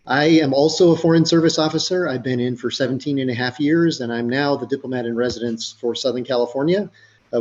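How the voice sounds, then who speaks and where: A man in his forties speaks as a recruiter over a video call, and his voice sounds a bit muffled. He is friendly and measured, with the occasional uh. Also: mid-range voice, darkish tone. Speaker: government recruiter. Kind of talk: presentation introduction.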